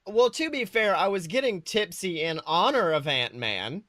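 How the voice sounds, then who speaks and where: A man co-hosting a casual movie review chat, talking in an excitable, slangy way with casual swearing. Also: high voice, normal speed, dry recording, clean studio sound. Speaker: podcast co-host.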